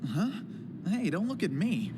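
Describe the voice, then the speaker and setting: A woman's voice: medium pitch, very animated, unhurried, heavy background noise. A woman playing an earnest, bewildered and stubborn teenage boy in an English anime dub. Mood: puzzled. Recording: very loud music behind dialogue. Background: very loud music.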